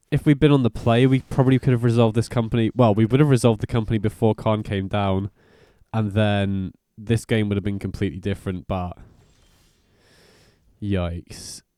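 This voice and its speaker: A man hosts a card game guide in a relaxed, mellow voice of medium pitch. His commentary is informal and peppered with slang. The sound is close and dry, with very little room in it. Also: clear, resonant tone, dry recording. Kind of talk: casual gameplay commentary.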